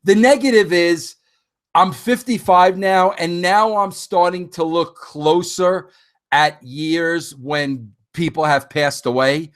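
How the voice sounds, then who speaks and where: Man in his fifties, high-pitched, rambling solo podcast chat, restarts often, talks right to the listeners. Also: takes it slowly. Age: 50s. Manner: rambling, animated, frequent restarts and fillers; addresses listeners directly. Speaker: podcast host. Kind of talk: casual solo monologue.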